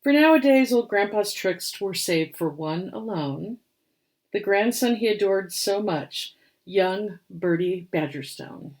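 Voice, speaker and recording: A woman reading a rhyming picture book aloud as storyteller and host, with expressive, theatrical delivery. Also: medium pitch, slow pace, poor audio.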